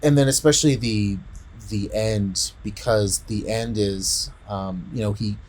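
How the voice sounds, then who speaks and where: A man in casual podcast chat, retelling a story in a rambling, halting way and often repeating words. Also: lowish voice, fairly even intonation, darkish tone. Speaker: podcast co-host.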